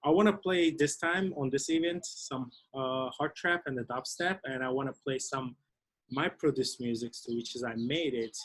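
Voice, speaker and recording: A young man with a Turkish accent talks over a video call, his English halting as he hunts for the right words. His pitch sits in a middling range for a man. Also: a bit bright. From Turkey. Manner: halting, searching for words in a second language. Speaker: DJ and music producer.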